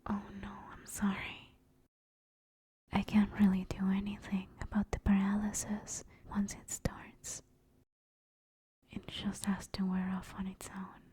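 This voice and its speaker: A woman playing a female sleep paralysis demon in a whispered ASMR roleplay, soft, intimate and breathy. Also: medium pitch, monotone, a little slow. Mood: gentle, soothing. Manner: soft, intimate, teasing then soothing. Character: female sleep paralysis demon, teasing menace turning apologetic and tender.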